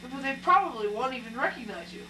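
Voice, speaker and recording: A woman's voice: high-pitched, lively intonation, fast talker. A woman playing a strict, matter-of-fact father in an amateur puppet show. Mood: firm. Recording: echoey, distant, noisy live recording.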